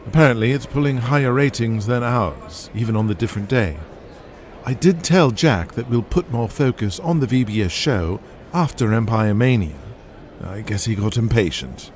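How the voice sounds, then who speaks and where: A man's voice: medium pitch, lively intonation, normal speed, close to an everyday voice. An actor playing a businesslike wrestling executive briefing his boss in a matter-of-fact way. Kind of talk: audio drama acting.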